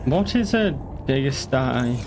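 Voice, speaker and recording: A man's voice: mid-range voice, expressive, slow pace, over music. Rambling young man streaming a game. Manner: rambling, joking, swears casually. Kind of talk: casual gaming commentary.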